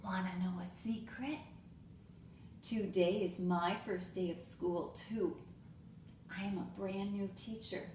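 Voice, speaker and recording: A woman's voice: medium pitch, fairly even intonation, slow, long pauses, a little mellow, recorded from a distance, lightly acted. A woman voicing a kindly, good-humoured primary school teacher in a storybook, patient but firm. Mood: confiding. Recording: echoey room with faint noise.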